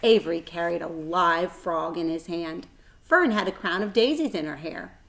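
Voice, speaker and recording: A woman reading a children's book aloud as a storyteller, expressive, with dramatic emphasis and shifting volume. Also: lowish voice, moderate pace, creaky.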